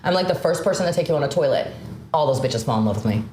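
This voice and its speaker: A woman's voice: a bass voice, lively intonation, racing, warm tone, roomy sound, a little hiss or hum. A young woman co-hosting a podcast as a stand-up comic, talking bluntly and jumping between ideas in casual chat.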